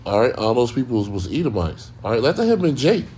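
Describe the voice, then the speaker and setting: A man's voice: medium pitch, big pitch swings, fast talker, a little mellow, husky. A man with an American accent chiming in during an informal religious group discussion, talking colloquially. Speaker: participant in a religious open forum.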